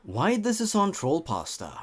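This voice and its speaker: A man with a fairly high voice hosts a comedic read-aloud of amateur horror fiction. His delivery swings widely in pitch, with theatrical emphasis on the story. He drops in wry, sarcastic asides as commentary. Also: huge pitch swings, a little slow, husky. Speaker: comedy video host reading and commenting on horror fan fiction.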